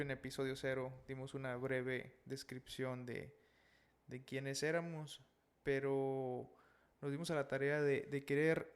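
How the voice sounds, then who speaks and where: Man, podcast host, casual faith talk, chatty, stumbling restarts. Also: mid-range voice. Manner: chatty, many fillers and restarts. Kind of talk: casual religious podcast hosting.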